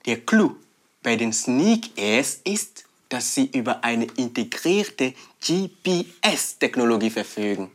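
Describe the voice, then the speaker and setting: A man performing spoken word in the style of a product pitch. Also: very animated, slow.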